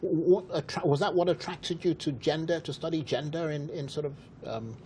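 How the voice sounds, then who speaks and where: A man hosting a broadcast news interview program, asking measured questions. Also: a little high, dark tone, a little hiss or hum. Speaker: TV interview host.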